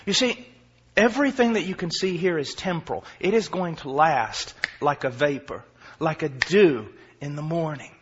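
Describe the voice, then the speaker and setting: A fervent man in his forties preaches with a husky, somewhat high voice. He drops to near murmurs and then surges into shouts. The recording sounds dull and lo-fi. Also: animated, normal speed. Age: 40s. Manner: impassioned, swinging from quiet to shouting; self-deprecating humor. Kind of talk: sermon.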